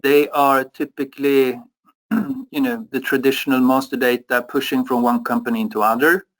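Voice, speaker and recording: European man, mid-pitched, halting webinar answers with lots of fillers. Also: a bit bright, low-quality recording. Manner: halting, many fillers and restarts. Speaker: product expert.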